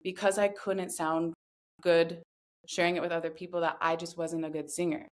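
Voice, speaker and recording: A woman in her thirties speaking as a podcast guest, telling her story in a reflective, earnest way with measured, deliberate phrasing. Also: on the low side, flat delivery. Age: 30s. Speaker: podcast guest, somatic voice guide. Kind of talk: reflective personal interview talk.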